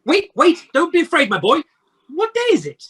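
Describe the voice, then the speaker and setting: An actor playing an emotional, repentant old male miser in an amateur radio play, with theatrical, halting exclamations. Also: high voice, huge pitch swings, a bit bright, exaggerated voice. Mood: giddy joy. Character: repentant old male miser, desperate then giddily joyful. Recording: home video-call audio.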